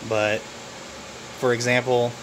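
A man walks through his crowded home office with a handheld camera, talking in a relaxed, halting way full of fillers. His delivery is fairly flat and even. An air conditioner hums clearly under the recording. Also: medium pitch, noisy background. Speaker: vlogger showing his phone farm. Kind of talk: casual off-the-cuff walkthrough. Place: cluttered home office full of phones and computers. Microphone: handheld camera mic, filming while walking around.

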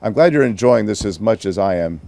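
A man reading as an affectionate, pleased mother from a children's storybook. The voice is soft-toned and fairly low, with an American accent. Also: lively intonation, a little fast, gravelly, dry recording. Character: warm, pleased mother of a young boy.

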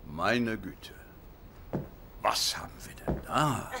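An actor playing a sly, flirtatious man in a German sitcom dub, with a gravelly voice. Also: fairly low pitch, lively intonation, slow pace, heavily acted. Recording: loud background noise.

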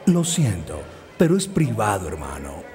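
Groovy, lively-pitched cartoon footballer. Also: male voice, moderate pace, music in the background. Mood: unbothered. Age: young adult. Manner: cool, easygoing, slangy. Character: laid-back, suave young star footballer with groovy swagger. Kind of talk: cartoon dub acting.

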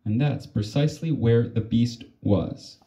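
A man reading a children's storybook aloud expressively, pausing between short phrases and stopping to explain. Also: medium pitch, dark tone, slight background noise. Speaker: reader of a children's picture book.

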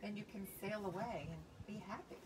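Woman voicing a gentle, selfless old storybook tree. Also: medium pitch, lightly acted. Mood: tender, giving. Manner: gentle, unhurried storybook delivery. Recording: distant mic, clear outdoor background noise.

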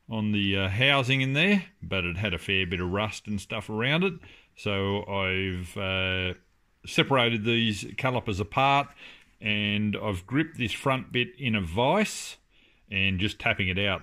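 Bright-voiced man in a garage. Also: fairly low pitch, a little slow. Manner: plain, practical, explains step by step with fillers. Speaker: home mechanic restoring a car. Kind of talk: casual how-to narration. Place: home garage workshop.

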